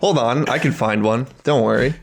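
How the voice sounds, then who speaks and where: Guy cohosting a comedy podcast, informal and jokey, normal medium pitch. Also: normal speed, gravelly, heavy background noise. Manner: informal, joking. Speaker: podcast co-host providing music. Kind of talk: casual comedic chat.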